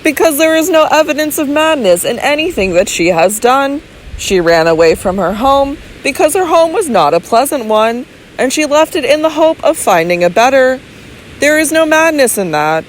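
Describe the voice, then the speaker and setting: A woman reading the part of a calm, deliberate male physician in an audiobook, quiet and measured. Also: high-pitched, a little hiss or hum, pitched above the performer's own voice, poor audio.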